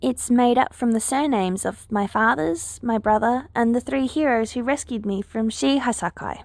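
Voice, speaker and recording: Woman acting earnest hero-student girl, polite, persuasive, higher pitch, dry storybook recording. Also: moderate pace, no echo, close to an everyday voice. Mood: soft.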